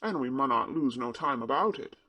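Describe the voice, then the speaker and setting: A man's voice: medium pitch, lively intonation, fairly quick. A man voicing a cheerful Yorkshire country boy who speaks in broad dialect. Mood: eager. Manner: broad dialect, eager and warm.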